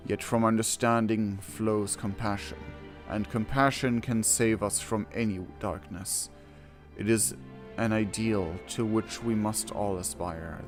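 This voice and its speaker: A man streaming a game, reading quest text aloud and reacting casually, with excitable exclamations and hesitant, self-correcting commentary. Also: fairly low pitch. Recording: music behind the voice. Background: game music.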